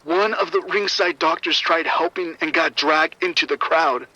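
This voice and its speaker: An actor playing a shaken security officer giving urgent, grim reports over radio in a horror audio drama. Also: male voice, fairly high pitch, normal speed, sharp tone, no room sound. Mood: overwhelmed. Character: shaken male arena security officer reporting a violent outbreak. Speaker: security supervisor. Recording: muffled, band-limited radio transmission.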